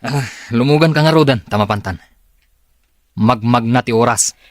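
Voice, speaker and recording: A man's voice: medium pitch, moderate pace, no room sound, studio quality, close to an everyday voice. A man playing a demanding young boss who gives curt orders in a radio drama. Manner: curt and commanding.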